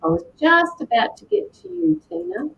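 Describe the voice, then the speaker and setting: A woman doing live tarot and mediumship readings, speaking gently to viewers by name with frequent hesitations. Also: moderate pace, gravelly. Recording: slightly dull lo-fi sound with faint rumble.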